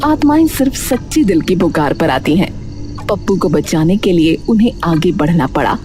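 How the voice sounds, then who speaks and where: Lively, lowish-voiced woman story narrator. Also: normal speed, soft background music. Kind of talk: dramatic scripted story narration.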